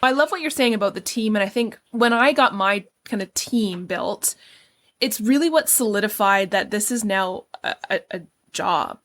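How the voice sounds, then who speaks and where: A woman's voice: medium pitch, animated, normal speed, fairly bright tone. A woman hosting an interview, speaking earnestly and conversationally about her own career. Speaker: podcast host.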